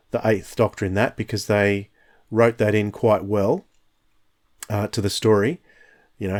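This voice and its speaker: A man's voice: fairly low pitch, a little mellow. A man hosting an audio drama podcast, asking questions and chatting casually. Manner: inquisitive, asks leading questions. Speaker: podcast host. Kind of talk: casual chat.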